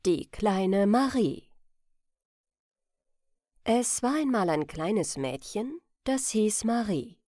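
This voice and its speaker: Female bedtime storyteller: gentle, slow delivery, animated intonation, in a dry recording. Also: medium pitch, no room sound. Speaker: storyteller, channel host. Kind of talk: bedtime fairy-tale narration.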